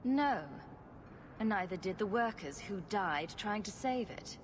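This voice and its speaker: A woman's voice: medium pitch, expressive, measured pace, long reverb tail, background noise, lightly acted. An actress playing a sarcastic female rogue adventurer in a video game, flippant and dryly humorous. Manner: flippant, dry humour. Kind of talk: dramatic video game dialogue.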